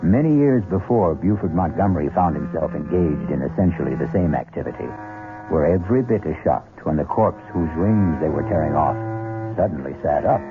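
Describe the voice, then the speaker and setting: A man hosting and narrating a radio mystery show in a measured, ominous storytelling manner. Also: very deep voice, expressive. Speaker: radio drama host and narrator. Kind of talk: scripted narration. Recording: tinny old radio broadcast with music.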